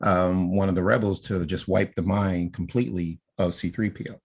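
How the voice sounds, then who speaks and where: A man's voice: low voice, fairly even intonation, normal speed, gravelly. A man co-hosting a casual podcast, laying out his fan theories and often checking in with "right?". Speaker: podcast co-host.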